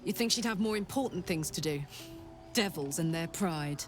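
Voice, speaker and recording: A woman's voice: fairly low pitch, moderate pace, close to an everyday voice. Woman acting a hearty, blunt British soldier woman. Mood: wry, bitter. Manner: chatty, blunt, earnest, expressive. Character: hearty female infernal-engine-hearted soldier escaped from the hells. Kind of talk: video game character acting. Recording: game audio with music behind. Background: music.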